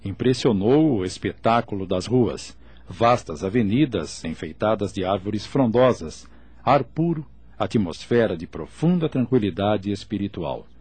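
A man's voice: fairly low pitch, animated, a little slow, darkish tone, slightly rough, dry recording. A man narrating a scripted radio drama in formal, literary diction.

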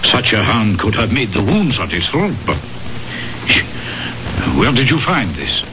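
A genteel older-style radio drama gentleman, courtly and hesitant. His lowish voice swings dramatically up and down at an unhurried pace, on a dull vintage recording. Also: very animated. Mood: shocked, pondering. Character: genteel, uneasy gentleman friend of a policeman. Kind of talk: 1970s radio mystery acting. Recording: dull, lightly noisy 1970s radio recording.

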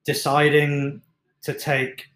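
A man's voice: a little high, completely flat delivery, slow pace, a bit bright. A man, a fitness coach giving an earnest, encouraging talk on mindset. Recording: echoey room.

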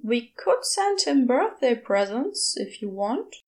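A woman's voice: fairly high pitch, very animated, slow. A woman voicing a fussy, well-meaning male character in a story, prim, polite and reassuring. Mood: tentative, gentle.

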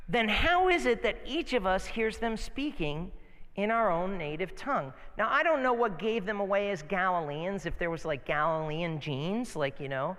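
An American man preaches in a high-pitched voice that rings through a large, echoing hall. He moves between reading scripture and telling stories in an informal, animated way, often putting rhetorical questions to his listeners. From northeastern United States. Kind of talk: conversational sermon with Bible readings and anecdotes. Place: large reverberant hall, live sermon. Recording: long hall reverb.

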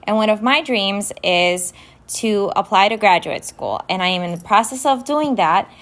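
A woman whose first language is Romanian, hosting a podcast and going slowly through vocabulary words, spelling each one letter by letter. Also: medium pitch, expressive, a bit bright. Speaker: podcast host. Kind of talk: vocabulary lesson, casual spelling and definitions. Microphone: phone app recording.

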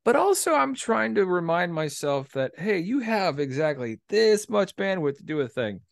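A man's voice: high voice, big pitch swings, normal speed, gravelly, dry recording, clean studio sound. A man hosting a podcast in casual chat, rambling hesitantly with a self-deprecating streak. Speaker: podcast host.

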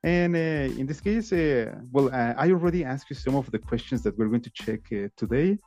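Male podcast host, mellow voice, hesitant, faint music underneath. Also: mid-range voice, lively intonation. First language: Spanish. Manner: halting, many "uh" fillers. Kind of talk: casual interview chat.

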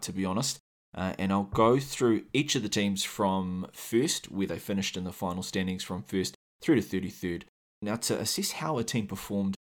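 A man with a New Zealand accent and a deep, low voice hosts a solo gaming podcast. He talks through the material in a measured, explanatory way, with frequent "uh" fillers and small restarts. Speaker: podcast host.

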